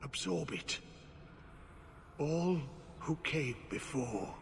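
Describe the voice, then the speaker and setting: Game role: half-mad old ex-commander, extremely slow and halting, cryptic, breathy, haunted, in an echoing cavern-like reverb. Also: male voice, medium pitch, expressive. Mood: eerie, reverent. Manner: halting, cryptic broken phrases. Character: half-mad, blight-tainted former Grey Warden commander, haunted old man. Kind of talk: video game character acting. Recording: echoing hall with noisy ambience.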